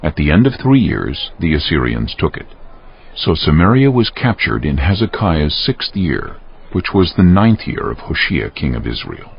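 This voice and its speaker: A man narrating scripture from a script in a solemn, measured, dramatic storytelling style. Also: extremely low pitch, sing-song, gravelly, slightly rough. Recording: dull lo-fi audio, faint music underneath.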